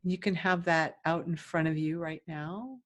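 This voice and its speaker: Slow, low-pitched woman guiding meditation. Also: steady tone. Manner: gentle, soothing, instructive, with long pauses between phrases. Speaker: guided meditation teacher. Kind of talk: guided meditation.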